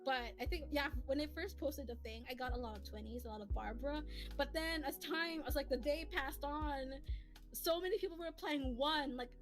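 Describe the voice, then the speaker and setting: A woman hosting a casual livestream chat, talking in an animated, giggly, rambling way as a hobby voice actor. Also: high voice, moderate pace. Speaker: streamer and host who voices comic dubs. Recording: lofi music playing behind. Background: soft lofi background music.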